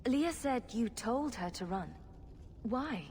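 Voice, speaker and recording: A woman's voice: fairly high pitch, lively intonation, slow pace, a little mellow, big-room sound, a little hiss or hum. A woman playing a sarcastic, wisecracking rogue adventurer in a video game, with a dry, unimpressed manner. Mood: blunt. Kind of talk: video game dramatic acting.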